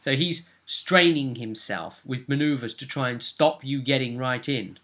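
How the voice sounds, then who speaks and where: A man's voice: mid-range voice, a little slow, sharp tone. A man talking casually through a tabletop roleplaying recap as game master, thinking aloud and checking with tag questions.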